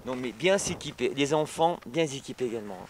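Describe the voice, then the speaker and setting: A middle-aged male ski instructor speaks into a handheld mic outside on a snowy slope. His pitch sits in an ordinary middle range, and his answers come in short, halting bits with lots of verbal tags. Faint background noise runs under his voice. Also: expressive. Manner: halting, frequent "hein" and "évidemment" tags. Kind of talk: casual interview answers.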